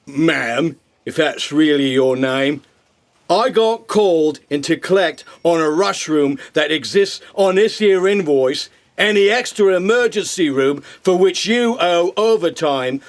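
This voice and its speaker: A high-pitched bloodsucking thug of a creature , acted out by a man, collecting debts in a comic audio drama. He drags out his slangy threats slowly and stubbornly. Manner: slangy working-class tough talk, menacing.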